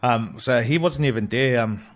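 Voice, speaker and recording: A young man from New Zealand, a rugby league player talking as a guest, soft-spoken and hesitant with many pauses. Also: mid-range voice, a loud crowd. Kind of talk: casual interview chat.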